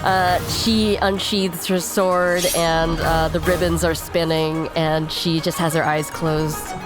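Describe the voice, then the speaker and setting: A woman's voice: sits low, level tone, takes it slowly, over music. A woman playing a tabletop game, describing her character's actions thoughtfully with some hesitation. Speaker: player.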